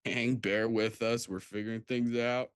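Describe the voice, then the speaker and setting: A man's voice: mid-range voice, level tone, unhurried, clear, resonant tone. Guy hosting a livestream, casual chatty talk, dry close sound no room echo. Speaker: host of a live charity table read. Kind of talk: casual live-stream hosting.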